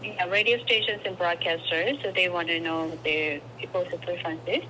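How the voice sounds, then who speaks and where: A woman's voice: lowish voice, steady tone, moderate pace, sharp tone. A woman working as a telephone survey interviewer, polite and persistent, repeating her questions through a phone speaker. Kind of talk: scripted phone survey with hesitant ad-libs. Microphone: phone call picked up by a camera in a garage. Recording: noisy phone call over speakerphone.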